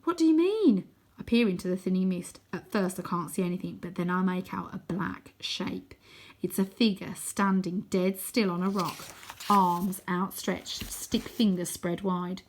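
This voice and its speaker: A woman reading a children's adventure story aloud as expressive first-person narration. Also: fairly low pitch, a little slow, a little hiss or hum. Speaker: storybook reader.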